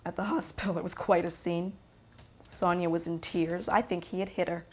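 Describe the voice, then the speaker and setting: A woman's voice: fairly low pitch, steady tone, normal speed, breathy edge. A woman playing a gossipy, wryly indignant witness, telling of a family quarrel in a conversational monologue. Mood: matter-of-fact, disapproving. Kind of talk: conversational monologue told as testimony.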